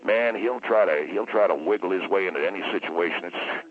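On a tinny phone line, a man performs a blustery patriotic radio caller with a low, husky voice. He is plain-spoken and emphatic, repeating himself to drive his outrage home in a comic call-in style. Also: fairly quick. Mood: opinionated.

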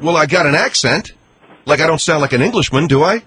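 A male talk radio host with a mid-pitched voice that moves quickly and bounces up and down in pitch. He ribs his callers in a relaxed way and steers the call back on track when it gets heated. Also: breathy edge. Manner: easygoing, ribbing, reins in callers.

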